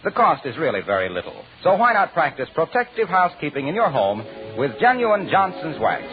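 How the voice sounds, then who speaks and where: Lively American vintage radio announcer, male. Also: a little high, a little fast, music in the background. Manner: polished, emphatic salesman delivery. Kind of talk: 1940s radio announcing, scripted commercial and introduction. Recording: narrow-band old radio recording.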